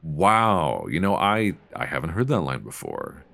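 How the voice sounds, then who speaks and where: A man playing a mild-mannered, flirtatious middle-aged librarian who can turn quietly commanding, in an intimate, conversational ASMR roleplay on a close mic. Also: low-pitched, big pitch swings, unhurried, gravelly, heavily acted. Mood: teasing, bashful. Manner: conversational, hesitant, teasing. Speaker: audio roleplay performer. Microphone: close binaural microphone.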